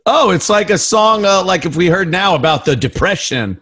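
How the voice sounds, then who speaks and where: Guy podcast cohost, kinda high voice, really swoopy and animated, amused riffing. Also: moderate pace, slightly rough. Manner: amused, riffing on the other speaker. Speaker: podcast co-host. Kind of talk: casual comedy banter.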